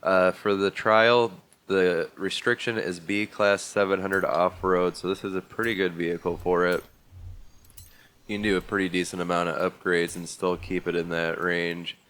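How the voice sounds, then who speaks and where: Low-voiced congested man walking through a game. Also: little pitch movement, normal speed, fairly bright tone, smooth. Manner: easygoing, methodical walkthrough with fillers. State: congested from a bad cold. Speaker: gaming video host. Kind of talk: casual gameplay commentary.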